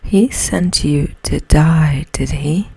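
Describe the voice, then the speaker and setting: Woman whispering as a slow, breathy lonely beast. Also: low-pitched, mellow, dry recording, exaggerated voice. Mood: menacing. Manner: slow, deliberate. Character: gruff, lonely male beast, monstrous yet tender.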